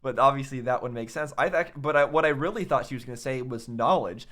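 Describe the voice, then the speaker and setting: A man hosting a reaction video, talking casually and thinking out loud in a rambling way. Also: mid-range voice, quick pace.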